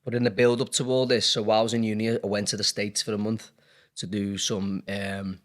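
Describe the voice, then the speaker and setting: A man's voice: on the low side, level tone, brisk. A man in his thirties with a Liverpool accent, a dentist talking candidly and rambling a little in a casual interview. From Liverpool, England. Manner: candid, rambling, many fillers. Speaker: dentist and business owner.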